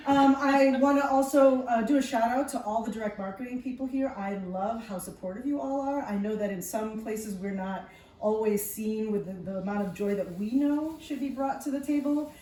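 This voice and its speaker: A woman's voice: fairly high pitch, moderate pace, mellow. A woman speaking enthusiastically to a live group as a presenter, laughing often. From United States. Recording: roomy, distant mic, some noise.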